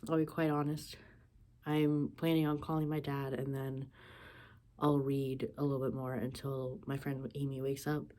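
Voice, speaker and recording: Stuffy young woman, deep mellow voice. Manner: chatty, laughs often. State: sore throat, a little stuffy.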